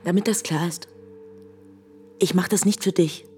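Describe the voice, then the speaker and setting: Actress as a cold, low-voiced female rival. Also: fairly even intonation, normal speed, dark tone, soft background music, close to an everyday voice. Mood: cold, dismissive. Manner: curt, dismissive, controlled. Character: cool, hostile woman, the heroine's curt rival. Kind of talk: radio drama dialogue.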